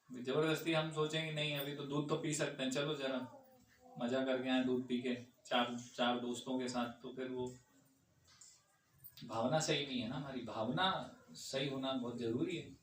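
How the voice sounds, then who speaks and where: A man leading an informal question-and-answer discourse in a temple hall, talking conversationally and often checking that listeners agree. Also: mid-range voice. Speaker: devotee speaker answering audience questions. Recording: echoey room, far from the mic, faint hum.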